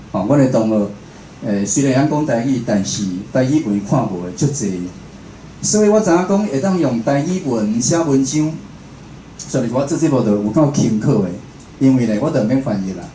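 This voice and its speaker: A man's voice: mid-range voice, dark tone. Taiwanese man lecturing in an echoey room. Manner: conversational, asks the listeners rhetorical questions. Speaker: radio host and station director giving a talk. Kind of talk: informal spoken lecture. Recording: echoey room with faint background noise.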